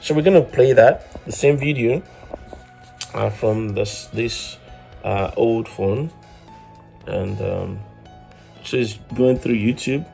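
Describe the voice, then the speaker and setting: A man's voice: medium pitch, slow, creaky, long pauses, darkish tone, soft background music. A man in his thirties giving an informal hands-on tutorial as host, explaining step by step and often repeating words as he goes. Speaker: host of an electronics DIY channel.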